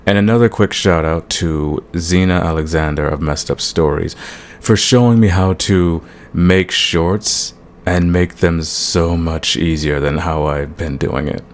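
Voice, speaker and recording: A man hosting his audio channel, giving a casual update straight to his listeners. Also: measured pace. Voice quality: very deep, gravelly. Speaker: audio roleplay creator, channel host. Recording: clear background hiss.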